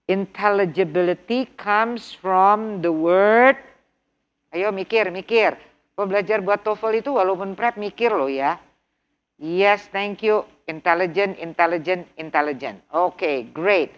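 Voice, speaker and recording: A middle-aged woman with an Indonesian accent leads an online test-prep class at an unhurried pace. She mixes English and Indonesian, drills key words by repeating them and keeps asking her students questions. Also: mid-range voice, lo-fi sound. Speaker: TOEFL instructor. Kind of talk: online workshop lesson.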